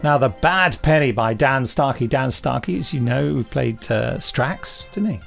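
Podcast host, British male: medium pitch, chatty, rambling, informal, faint music underneath. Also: animated, normal speed, vocal fry. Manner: chatty, rambling, gleeful quoting. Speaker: podcast host and drama director. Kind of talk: casual podcast chat.

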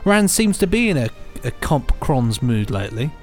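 A man's voice: mid-range voice, sing-song, moderate pace. Chirpy male podcast co-host, faint music. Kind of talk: casual presenting and banter.

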